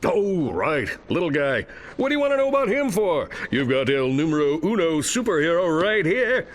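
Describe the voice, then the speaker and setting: A man's voice: on the high side, very animated, normal speed, a little hiss or hum. A man playing a vain, dim-witted, boastful superhero in a video game, with a pompous, theatrical manner. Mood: smug. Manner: pompous, self-important, theatrical. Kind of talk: video game cutscene acting.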